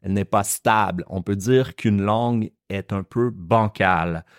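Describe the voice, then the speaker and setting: A man with a Quebec French accent hosting a podcast lesson, explaining slowly and carefully. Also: fairly low pitch, clear, resonant tone, no room sound, clean studio sound. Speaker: French teacher and podcast host.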